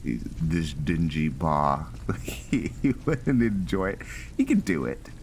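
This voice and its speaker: A man's voice: extremely low pitch, very animated, slow pace, creaky, a little mellow. A man giving casual, rambling gameplay commentary, thinking aloud in a hesitant, musing way as he roleplays. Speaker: gaming host, roleplayer. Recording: very noisy, game ambience behind.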